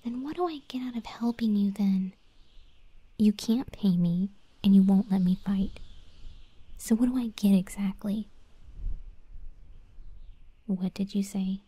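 A woman playing a proud, grudging scorpion monster girl in a soft, breathy, whispered ASMR roleplay. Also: mid-range voice, a little slow, long pauses, dry recording. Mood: puzzled, grumbling, then flustered. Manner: grudging, flustered, haughty.

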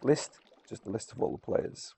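A man's voice: moderate pace, warm tone, a little hiss or hum. A man giving a casual, unscripted video game tutorial, informal and a little hesitant as he thinks aloud. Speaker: gamer presenting a video walkthrough.

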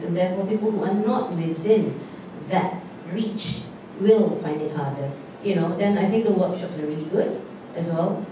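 A woman actor speaking on a post-show panel, giving earnest, frank advice. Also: on the low side. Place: theatre stage. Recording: echoey room, distant mic, noisy.

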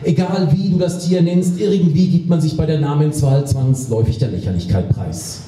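A man performs a humorous spoken-word text on stage, deadpan, with theatrical pauses and emphasis. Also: on the high side, background noise. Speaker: poetry slam performer. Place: small club stage with live audience. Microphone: stand microphone on stage, picked up by distant camera. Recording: very echoey hall, distant camera microphone, audience.

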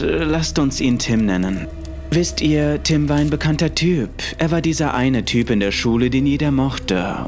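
A man narrating a scripted first-person horror story. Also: mid-range voice. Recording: music under the voice. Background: music.

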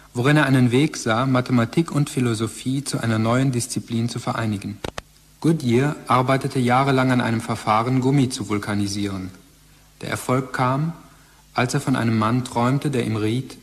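A sober male narrator, played by an actor delivers scripted, factual narration in a radio play. His pitch is medium. The voice rings in long hall reverb. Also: lightly acted.

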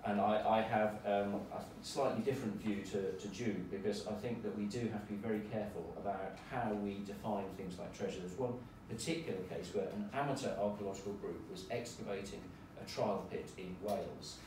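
A man giving measured, opinionated remarks as a panel speaker. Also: lowish voice, steady tone. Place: lecture room, indoors. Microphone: far camera in the audience. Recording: echoey lecture room, distant mic, noisy.

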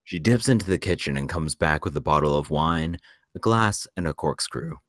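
Casting: male narrator, extremely deep voice, reads screenplay action lines, very dry recording. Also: lively intonation, normal speed, fairly bright tone, dry recording. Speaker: narrator reading stage directions. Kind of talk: scripted narration for a table read.

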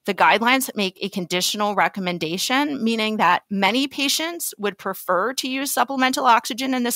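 Female expert guest for a medical podcast: explanatory, articulate, medium pitch. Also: fairly bright tone, dry recording.

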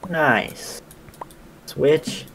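A man's voice: on the high side, crowd noise. A young man hosting a casual gaming video, asking his friend questions and joking while he plays. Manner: upbeat, jokey, quick asides while playing. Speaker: gaming channel host.

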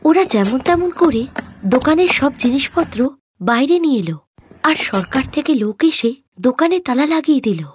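A woman narrating an animated moral tale in a scripted storytelling style. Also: high-pitched, moderate pace, slight background noise.

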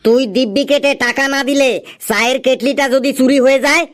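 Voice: high-pitched, steady tone, a little fast, a little hiss or hum, lightly acted. An actor playing a grumpy, stubborn tea stall keeper in a comedy cartoon, scolding and insistent. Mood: exasperated, stubborn.

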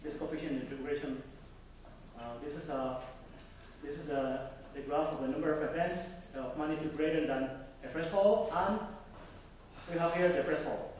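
A young man presents research at a conference, pausing and restarting as he searches for words. His voice sits a little high for a man. He is recorded from a distance in a very echoey hall, with a faint hiss under it. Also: fairly even intonation, darkish tone. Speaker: conference speaker. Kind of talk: academic presentation.

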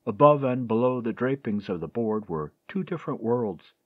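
A man reading a historical mystery as an audiobook, in a measured, expressive storytelling manner. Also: medium pitch, no echo, narrow, tinny audio.

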